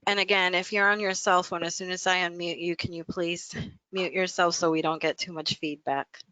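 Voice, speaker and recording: A woman moderates a meeting and gives practical instructions. Her voice is rather low for a woman, with a bright edge and a very clear tone. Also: fairly even intonation, normal speed.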